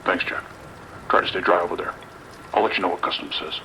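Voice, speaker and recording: Low-voiced American lieutenant male actor, forceful, clipped, dryly sardonic, naturalistic audio drama, faint noise behind. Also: quick pace, poor audio. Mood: firm, businesslike. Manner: clipped, commanding, dry.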